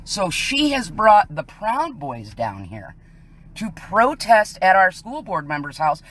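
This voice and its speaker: A woman's voice: medium pitch, huge pitch swings, takes it slowly, fairly bright tone. Casting: American woman, mid-range voice, citizen livestreamer, indignant and emphatic, commenting from a residential street. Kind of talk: live on-scene commentary to camera.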